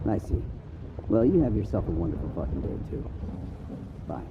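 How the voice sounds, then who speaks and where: An actor playing a dry, sardonic veteran of a spy agency in a cinematic video game. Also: male voice, low-pitched, big pitch swings, moderate pace, phone-quality sound, noisy background. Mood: bitterly sarcastic. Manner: wry, sardonic. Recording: loud music behind.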